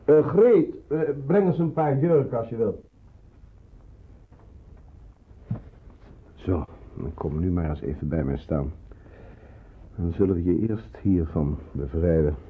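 An actor playing a brisk, fatherly resistance man who gives kind but firm orders in a radio drama, on an old recording. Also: low voice, big pitch swings, narrow, tinny audio, slight background noise. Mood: brisk, reassuring. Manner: brisk, instructing, reassuring.

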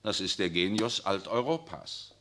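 An actor playing an urbane, worldly papal nuncio in a 1960s radio drama, speaking with a suave, ironic, lecturing manner. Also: male voice, deep voice, big pitch swings, a little slow, a bit bright, a little hiss or hum, exaggerated voice.

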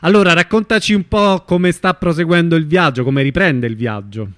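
A man hosting a casual live radio interview, feeling his way haltingly through his questions. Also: on the high side, fairly bright tone, no echo, studio quality.

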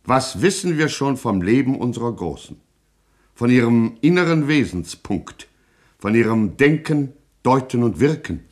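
An actor playing a mock-solemn, pompous narrator of a satirical gangster radio play. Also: male voice, medium pitch, very animated, a little slow, darkish tone. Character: grandly ironic male narrator of a gangster satire.

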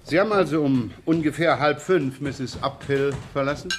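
Actor as brusque, sardonic police inspector, slightly noisy recording. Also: male voice, fairly high pitch, moderate pace, close to an everyday voice. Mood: brusque, probing. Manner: gruff, ironic, blunt questioning. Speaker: actor in a radio crime drama. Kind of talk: 1970s German radio play acting. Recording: 1970s radio recording, faint noise.

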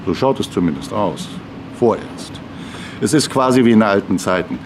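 A man's voice: lowish voice, lively intonation, normal speed, background noise, lightly acted. A man narrating a scripted radio play in short, emphatic phrases, with music behind him. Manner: clipped, emphatic recap. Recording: music and train sound behind.

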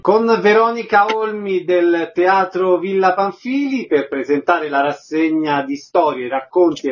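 A man's voice: high voice, slight background noise. A man hosting a radio interview, presenting from a script and putting short questions. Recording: slightly dull radio recording.

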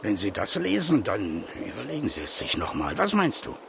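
An old village man, stubborn and excitable, speaks in a gravelly voice that leaps up and down. It is a radio drama scene with clear outdoor noise behind him. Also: lowish voice, normal speed, heavily acted. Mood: excitedly insistent. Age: older.

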